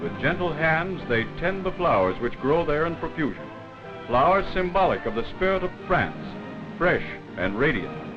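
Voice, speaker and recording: A man's voice: fairly high pitch, sing-song, normal speed. A man reading scripted wartime newsreel narration in a formal, dramatic 1940s manner. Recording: dull vintage 1940s film soundtrack. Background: loud background music.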